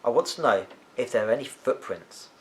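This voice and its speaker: A man's voice: mid-range voice, expressive, brisk, natural voice. A man voicing an impulsive, defensive schoolboy hero in a children's story, speaking with urgency. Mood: insistent. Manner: urgent, insistent.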